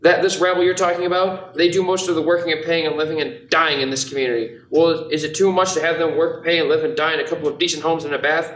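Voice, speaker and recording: A young man playing an earnest, restless small-town dreamer with halting, insistent delivery in an amateur radio play. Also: on the high side, steady tone, fairly bright tone, natural voice. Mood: indignant. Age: young adult. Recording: echoey room, distant mic, some noise.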